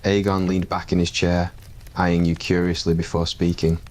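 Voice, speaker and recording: A man with a very deep voice reading a scripted ASMR story. His delivery is calm and even, with a fairly flat intonation. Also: normal speed. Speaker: narrator.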